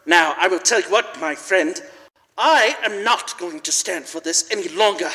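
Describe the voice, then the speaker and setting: A man playing an excitable, emphatic old gentleman, a reformed miser, in a live radio play, with stammered eagerness. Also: sits high, moderate pace. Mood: mock stern. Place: large church, live performance. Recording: echoey church hall, live stage.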